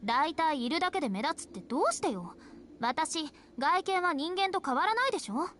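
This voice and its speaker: A carefree, casual young vampire woman in a Japanese visual novel. Her voice is high and very animated, pouting and indignant, and comes quickly over some background noise. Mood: pouty. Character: pouty, carefree young female vampire who looks human.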